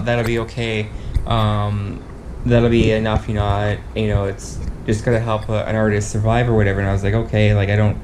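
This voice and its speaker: Canadian male vlogger, low voice, halting casual storyteller, some background noise. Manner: halting, rambling, often quotes dialogue. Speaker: travel vlogger.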